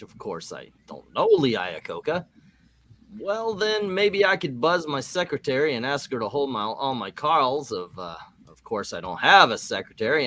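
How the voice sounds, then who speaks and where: A man acts out a superhero team leader full of self-doubt. The voice swings widely in pitch as he rambles melodramatically through an amateur comic book reading. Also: a bit bright, a little hiss or hum, natural voice.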